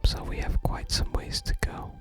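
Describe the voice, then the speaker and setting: An ASMR gaming host speaking entirely in a soft, breathy whisper, narrating play and reading game dialogue aloud. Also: normal speed. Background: game music.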